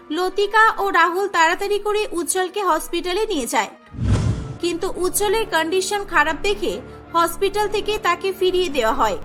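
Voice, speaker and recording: A woman's voice: very high voice, bright, forceful tone. A woman narrating a cartoon story from a script.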